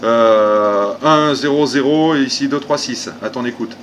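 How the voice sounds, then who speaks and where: Male radio hobbyist from France, medium pitch, informal and repetitive, with light background hiss. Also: measured pace, a bit bright. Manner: conversational, repeats phrases, tag words. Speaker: amateur radio operator. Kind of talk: unscripted radio contact chat. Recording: faint background noise.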